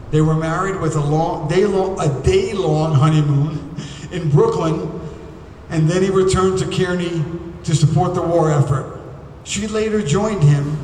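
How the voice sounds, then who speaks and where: Man, ceremony emcee, formal measured reading, echoey hall, distant from the mic, faint hum behind. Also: a little high, darkish tone. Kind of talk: ceremony speech, reading biographies aloud. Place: large museum hall. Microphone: podium microphone.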